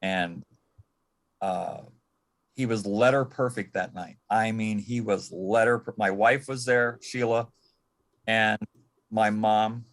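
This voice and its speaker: Panel guest: American man, gravelly low voice, reflective storyteller who pauses and repeats himself. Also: a bit bright. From Michigan, United States. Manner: halting, reflective, repeats words. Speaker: panel guest, former assistant to an entertainer. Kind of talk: reminiscing in a panel interview.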